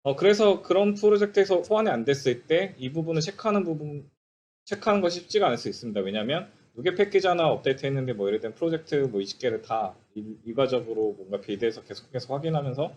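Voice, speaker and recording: A man's voice: medium pitch, expressive, fast, darkish tone. Man presenting, dull lo-fi audio. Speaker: software developer presenting at a conference. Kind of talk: technical presentation.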